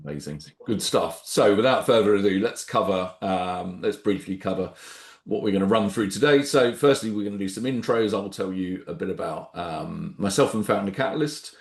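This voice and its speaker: A man with a head cold and a nasal voice, hosting a webinar on a video call in a relaxed, rambling way. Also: low voice, moderate pace, echoey room. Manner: relaxed, rambling, frequent ums and restarts. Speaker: webinar host, startup founder. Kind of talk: casual webinar presentation.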